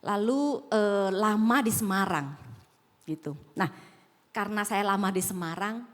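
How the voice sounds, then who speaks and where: A woman's voice: mid-range voice, a little slow. A woman pastor from Sumatra with a slight Javanese accent, preaching a sermon in a conversational way and asking the congregation questions. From Sumatra, Indonesia. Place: large auditorium. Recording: echoing hall.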